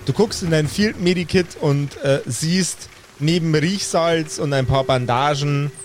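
A man's voice: fairly high pitch, a little slow, a little hiss or hum. A man narrating an improvised tabletop roleplaying game as the game master. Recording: music behind the voice. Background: background music.

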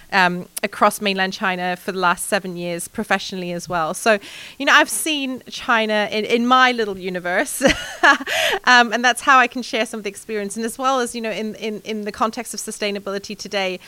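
A woman from Hong Kong, a sustainability executive answering interview questions in a lively way, with frequent fillers and light laughs. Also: mid-range voice, clear, resonant tone, dry recording. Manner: animated, laughs often, many fillers.

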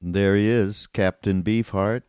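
A man's voice: lowish voice, steady tone, measured pace, a little mellow, clean tone. A man hosting a casual podcast interview, effusive and repeating himself as he talks. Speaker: podcast host.

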